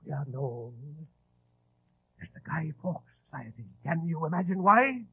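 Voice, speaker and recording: A man's voice: a little high, big pitch swings, measured pace, vocal fry, breathy edge, exaggerated voice. An actor in 1940s radio style playing a nervous, vain, grandiose English madman who rants and repeats himself. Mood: conspiratorial. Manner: agitated, repeats himself, ranting. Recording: tinny, band-limited old radio broadcast.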